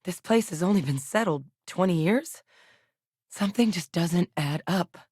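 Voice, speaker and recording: A steady, low-voiced commander. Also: female voice, unhurried, husky, dry recording, clean studio sound. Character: steady female military commander, earnest. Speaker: game character. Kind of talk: video game voice lines.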